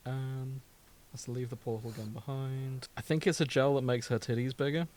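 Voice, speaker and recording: A man's voice: mid-range voice, steady tone, moderate pace, a little mellow. A British man co-hosting a podcast in a casual, comedic chat, amused and prone to giggling. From Britain. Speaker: podcast co-host.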